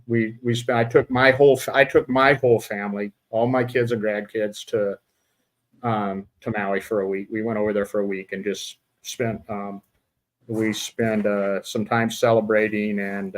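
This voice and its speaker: A middle-aged man hosting a casual interview podcast, talking in a halting way with many fillers and restarts. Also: on the low side. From Utah. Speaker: podcast host.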